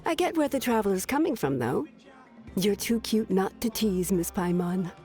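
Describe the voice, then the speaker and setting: A woman's voice: medium pitch, moderate pace, lightly acted. A woman voicing a friendly, easygoing tavern keeper in a game, chuckling as she welcomes guests. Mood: amused. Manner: relaxed, chuckling hospitality. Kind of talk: game voice acting. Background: faint game music.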